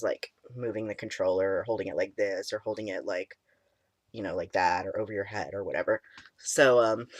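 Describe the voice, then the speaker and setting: A young man in casual chat, his voice cracking and squeaky, deep and stuffy from a cold, rambling with many ums and likes. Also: no room sound. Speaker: vlogger. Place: small room indoors.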